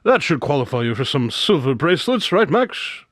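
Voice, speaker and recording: A man acting a confident, brisk, slightly pompous private detective in a radio drama, articulate and theatrical. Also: on the high side, big pitch swings, dry recording, studio quality. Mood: unfazed, smug.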